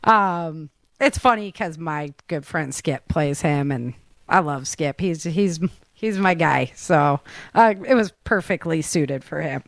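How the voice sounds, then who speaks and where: A woman's voice: sits low, expressive, slow, dry recording. Casual, chatty American woman podcast host. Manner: informal, chatty, slangy. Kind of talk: casual upbeat podcast chat.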